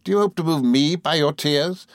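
Storybook villainess, played by a man: imperious young gentlewoman, raised pitch, cutting contempt, fierce and unrelenting, dry sound. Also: lively intonation, measured pace, dry recording, lightly acted. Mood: cruel scorn. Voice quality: cold, stern, sharp tone. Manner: contemptuous, resolute, theatrically fierce. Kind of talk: dramatised classic novel reading.

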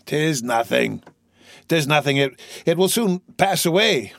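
Guy doing a poor frail old dad in an audiobook, gentle n halting, self-effacing, dry sound. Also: fairly high pitch, expressive, gravelly, no echo, voice pushed up, full character voice. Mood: feeble, dismissive. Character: frail, tender old father, poor and humble. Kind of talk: dramatised audiobook reading of a classic novel.

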